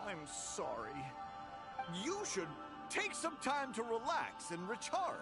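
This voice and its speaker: A man's voice: very high-pitched, wildly swooping pitch, takes it slowly, exaggerated voice. An actor playing a pushy, dismissive male boss who talks in a managerial way. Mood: placating. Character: pushy adult male boss, smooth-talking. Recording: game music behind.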